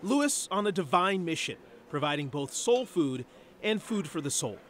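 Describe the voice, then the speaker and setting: A man reading a scripted news feature voice-over in a measured, storytelling way. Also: on the high side, very animated, fairly bright tone. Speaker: TV news reporter.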